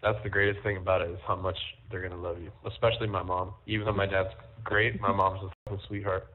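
A man in an intimate, casual phone call, talking haltingly and repeating his phrases, on a tinny jail phone line. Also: low-pitched, level tone. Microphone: telephone handset.

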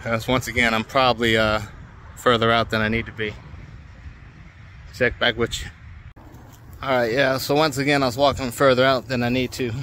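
A relaxed older man talks to his phone camera in a loose, colloquial way while walking through an open field at dusk. The voice sits at an ordinary pitch. Faint outdoor noise runs behind him. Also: normal speed, long pauses. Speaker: drone hobbyist vlogger. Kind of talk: casual walk-and-talk vlog. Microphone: phone held at arm's length.

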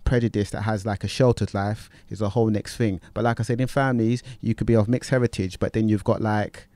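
A man, hosting a podcast in a recording studio, talking in a relaxed, rambling way. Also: fairly low pitch, a little fast, no echo. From Britain. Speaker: podcast host. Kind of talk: casual conversation.

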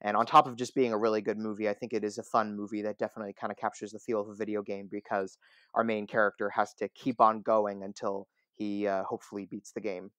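A man's voice: lowish voice, level tone, fast, fairly bright tone, dry recording. A man chatting casually as a guest on a podcast. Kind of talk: casual chat.

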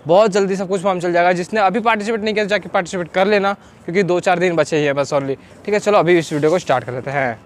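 A man's voice: high voice, very fast, slight background noise. A man, chatting casually to his viewers as a vlogger. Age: 20s. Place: outdoors, selfie intro and open-air street market. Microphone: handheld camera or phone at arm's length.

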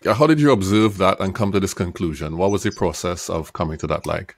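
A man hosting a podcast, calmly asking his guest questions into a studio mic. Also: low voice, brisk, no room sound. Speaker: podcast host. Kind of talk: interviewing. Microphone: studio mic and headphones.

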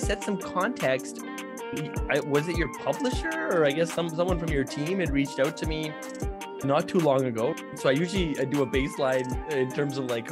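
A man in his thirties hosting a casual live interview over a video call, chatty and upbeat, often restarting his sentences. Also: on the high side. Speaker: podcast host. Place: home studio. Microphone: studio mic on a boom, seen in frame.

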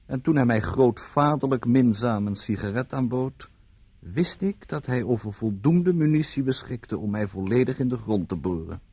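An urbane gambler behind bars in a radio play. His medium-pitched voice is noticeably husky. He talks and narrates with dry, articulate irony. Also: moderate pace, dark tone. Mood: ironic narration. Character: wry, urbane male gambler held in jail.

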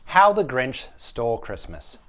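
A middle-aged man doing an animated, theatrical read-aloud of a children's story. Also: medium pitch, measured pace. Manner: expressive, theatrical. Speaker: storyteller, app sound designer. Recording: music behind the voice. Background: music and sound effects from a story app.

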